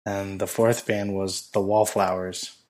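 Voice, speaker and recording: A man co-hosting a podcast in casual chat, hoarse from losing his voice, rambling with many fillers. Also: low voice, flat delivery, unhurried, darkish tone. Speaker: podcast co-host.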